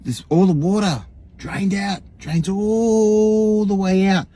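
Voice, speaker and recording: An Australian man imitating an earnest, rambling online guru in a folksy, emphatic livestream monologue, with a husky voice. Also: high voice, fairly even intonation, slow pace, dark tone, no room sound. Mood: incredulous, mocking. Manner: folksy, emphatic, rhetorical questions, frequent chuckles.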